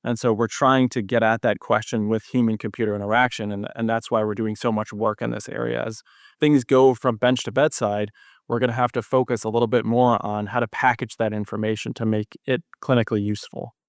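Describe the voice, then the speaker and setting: A man's voice: fairly low pitch, little pitch movement, a little fast, no echo, clean studio sound. A man speaking as an expert guest in an interview, explaining things conversationally with technical jargon. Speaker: guest physician researcher.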